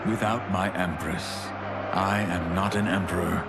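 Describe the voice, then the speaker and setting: An actor playing a resolute, defiant hero in a video game, speaking tersely. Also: male voice, low voice, fairly even intonation, measured pace, very noisy. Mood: grim defiance. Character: resolute heroic male fighter, defiant. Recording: loud music behind the voice.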